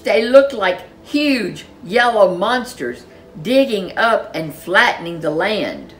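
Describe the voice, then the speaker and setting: A woman narrating a children's story in an expressive, dramatic storyteller's manner. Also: mid-range voice, takes it slowly, slight background noise.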